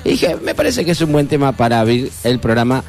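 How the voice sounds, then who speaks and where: A man's voice: mid-range voice, huge pitch swings, a little fast, darkish tone. A middle-aged Argentine man hosting a radio show in casual, rambling chat full of fillers. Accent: Argentine Spanish. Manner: rambling, chatty, many fillers. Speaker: radio host. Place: radio studio. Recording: light music behind.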